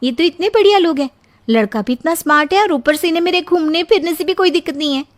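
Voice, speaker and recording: A woman's voice: extremely high pitch, fast. A woman voicing a young, carefree bride who exclaims dramatically and is easily overwhelmed, in a Hindi cartoon story. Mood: delighted. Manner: exclaiming, melodramatic.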